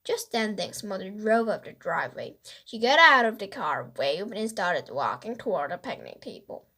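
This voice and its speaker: A woman reading a children's story aloud as an expressive narrator. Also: medium pitch, moderate pace, husky. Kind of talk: expressive read-aloud narration with character voices.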